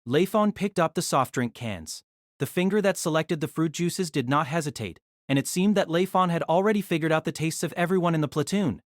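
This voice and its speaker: A narrator telling a fan audio drama story in an expressive storytelling manner. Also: medium pitch, brisk, no room sound, clean studio sound.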